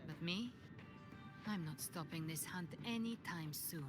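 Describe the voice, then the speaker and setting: Female actor as brooding avenger, lowish voice, deliberate, defiant, video game dialogue, prominent music. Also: close to an everyday voice. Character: brooding, defiant female avenger who hunts dark monsters. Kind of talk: dramatic scripted game dialogue. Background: prominent background music.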